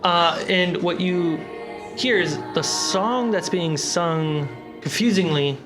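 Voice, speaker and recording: A game master narrates a tabletop fantasy game at a slow, deliberate pace. The voice pauses between short phrases as each scene is laid out. Music plays clearly underneath. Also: medium pitch. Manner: measured, pausing scene narration.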